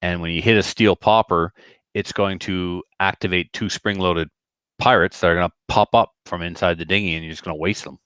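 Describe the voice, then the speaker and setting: A man's voice: low voice, steady tone, a little fast, a bit bright, smooth, dry recording. A man chatting casually on a podcast in a rambling, colloquial way, often slipping into quoted dialogue. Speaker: podcast speaker who organizes shooting matches.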